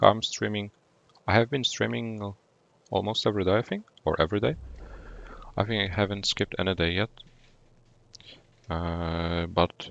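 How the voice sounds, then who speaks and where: A man from Poland with a Polish accent, streaming a game and chatting with viewers in a relaxed way, often pausing to think. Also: sits low, creaky, dry recording, dull audio. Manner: relaxed, thinking aloud with fillers. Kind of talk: gameplay commentary and chat replies.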